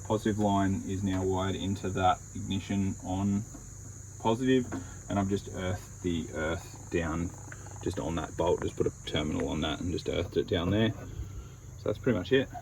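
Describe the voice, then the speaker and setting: A man's voice: low-pitched, normal speed, noisy background. An Australian man casually explaining a hands-on DIY install in a laid-back, matter-of-fact way. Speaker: DIY van-build vlogger.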